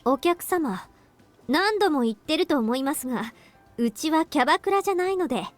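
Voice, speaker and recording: A high-voiced young waitress from a visual novel. She speaks extremely fast in formal, curt, businesslike phrases, with music behind her. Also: animated. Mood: curtly reproving. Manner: formal, brisk, matter-of-fact. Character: polite but curt young female cafe waitress. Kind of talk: Japanese visual novel voice acting.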